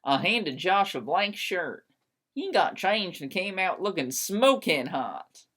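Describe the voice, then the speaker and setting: A woman's voice: on the low side, sing-song, takes it slowly, a bit bright. A woman narrating a first-person story as a theatrical dramatic reading.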